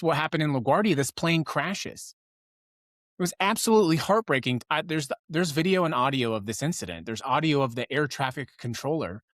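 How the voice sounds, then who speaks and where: A man's voice: medium pitch, a bit bright, dry recording. Emphatic American man giving political commentary, dry sound. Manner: emphatic, impassioned, builds points through repetition. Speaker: host of a political news commentary show. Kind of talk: political commentary monologue to an audience.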